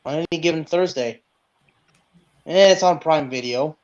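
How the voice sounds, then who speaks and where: A man co-hosting a sports podcast in casual chat, speaking haltingly and repeating words as he goes. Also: fairly high pitch, a little mellow. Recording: dull, lo-fi sound.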